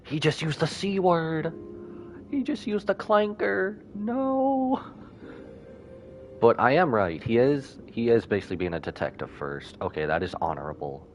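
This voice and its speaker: A man streaming a game, giving casual, expressive live reactions in slangy speech. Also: fairly high pitch, unhurried, slight background noise.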